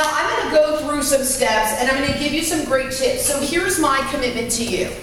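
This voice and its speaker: High-voiced woman speaker in an echoey room. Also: recorded from a distance, a little hiss or hum. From California. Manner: energetic, self-deprecating, speaks about having a loud voice. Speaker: guest speaker. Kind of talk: motivational talk to a live audience. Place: meeting room with small audience.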